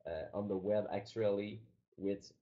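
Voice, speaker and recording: A man with a Quebec French accent, presenting on a business webinar, his deep, mellow voice coming through dull lo-fi online audio. He speaks haltingly, stopping and restarting his sentences. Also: fairly even intonation. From Quebec, Canada. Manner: halting, many fillers and restarts.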